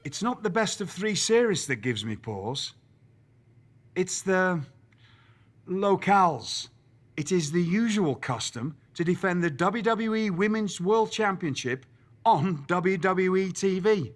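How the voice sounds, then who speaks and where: A man's voice: sits high, lively intonation, takes it slowly. A man playing a shrewd wrestling general manager in a video game, wry, formal and showmanlike. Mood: doubtful. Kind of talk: theatrical video game cutscene acting.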